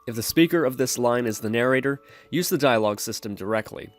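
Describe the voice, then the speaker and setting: A man's voice: medium pitch, quick pace, faint music behind. A man hosting a programming tutorial, narrating step-by-step instructions in a screencast style.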